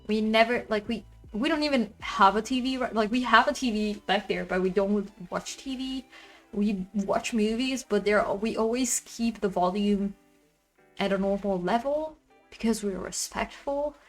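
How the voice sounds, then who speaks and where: A woman with a loud voice chatting casually on a livestream from her apartment, rambling and venting about her neighbors. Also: mid-range voice, moderate pace, faint music behind, poor audio. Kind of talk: casual chat.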